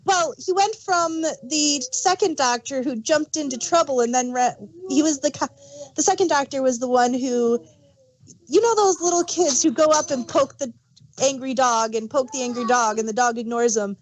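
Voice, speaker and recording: A woman's voice: sits high, moderate pace, rough recording. A woman co-hosting a casual fan discussion, rambling and searching for words as she gives emphatic examples. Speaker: podcast co-host.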